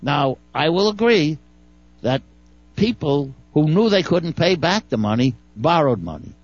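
A man's voice: fairly high pitch, very animated, measured pace, dry recording, dull audio, soft background music. A man with a Brooklyn accent giving folksy, wry legal commentary on a talk radio show, often stumbling and repeating words.

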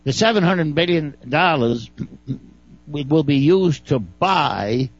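A man's voice: on the high side, lively intonation, slow, muffled recording, faint music behind. A man with a Brooklyn accent giving folksy, wry legal commentary on a talk radio show, often stumbling and repeating words.

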